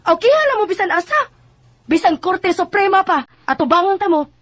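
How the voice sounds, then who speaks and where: A Filipino actress with a Visayan accent playing a haughty, unyielding rich landowner from next door in a radio drama. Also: female voice, extremely high pitch, big pitch swings, brisk, a bit bright, no room sound, full character voice. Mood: defiant. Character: brazen, defiant well-off woman landowner from next door. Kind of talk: Bisaya radio drama acting.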